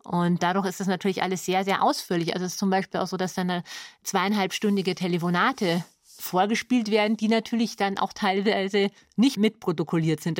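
A woman with a slightly low voice speaks in a dry recording. She explains her editorial work in an interview, conversationally and by way of examples. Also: no echo. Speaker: radio drama editor.

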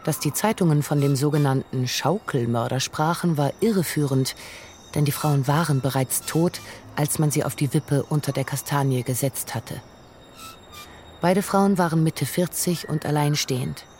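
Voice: mid-range voice, moderate pace, darkish tone, slight background noise. A narrator reading scripted literary prose for a radio drama.

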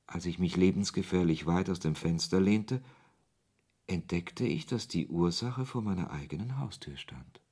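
An actor playing a wry author who narrates a radio play in the first person, in a husky voice. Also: male voice, deep voice, normal speed, darkish tone. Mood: wry narration. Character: male author narrating his absurd encounter, wry and irritated.

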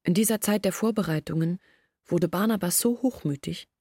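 An actress playing an earnest woman who confesses her family's failures in a reflective, measured monologue from a literary radio play. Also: fairly low pitch, dark tone, husky, no echo, studio quality. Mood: confessional, resolute.

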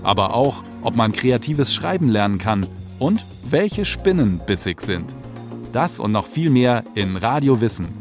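A man's voice: medium pitch, sing-song, measured pace, over music. A man reading scripted promotional narration as a radio announcer.

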